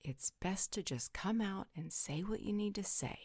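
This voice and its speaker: A woman's voice: mid-range voice, lively intonation, moderate pace, darkish tone, breathy edge, dry recording, studio quality. A woman playing a frank, teasing, worldly supernatural guardian who speaks bluntly in a roleplay audio drama.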